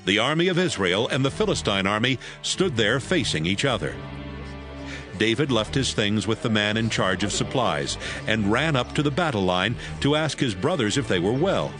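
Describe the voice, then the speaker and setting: A man narrating a dramatized audiobook as a scripted storyteller. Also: fairly low pitch. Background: music.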